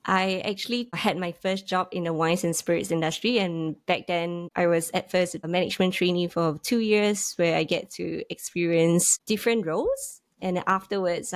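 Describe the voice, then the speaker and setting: Singaporean woman podcast guest in her thirties, slightly low voice, recorded dry and close. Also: no echo. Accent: Singaporean English. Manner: thoughtful, restarts phrases, frequent fillers. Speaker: guest, UX manager. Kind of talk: podcast interview.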